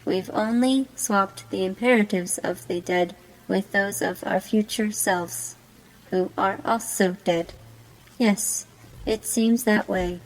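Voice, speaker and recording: A woman playing a bored, fatalistic young troll girl in an amateur monologue, speaking formally and deliberately. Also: mid-range voice, pitched below the performer's own voice, natural voice, low-quality recording. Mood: bored, resigned. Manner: formal, deliberate, resigned wording.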